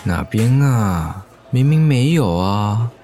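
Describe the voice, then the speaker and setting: Playful, excitable young man for audio drama. Teasing, easily flustered, swooping intonation. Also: on the low side, takes it slowly, a little hiss or hum. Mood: baffled. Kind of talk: Mandarin audio romance drama acting.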